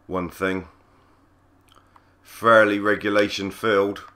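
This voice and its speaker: Husky man with a cold, bright tone. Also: lowish voice, flat delivery, slow pace. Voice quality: husky, croaky. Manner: easygoing, understated play-by-play. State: hoarse from a cold. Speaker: gaming channel host. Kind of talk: casual game commentary.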